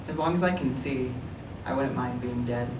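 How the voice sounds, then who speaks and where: Man, writer reading aloud, measured, echoey room, distant mic. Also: medium pitch, noisy background. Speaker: writer reading his work. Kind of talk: literary reading. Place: library meeting room.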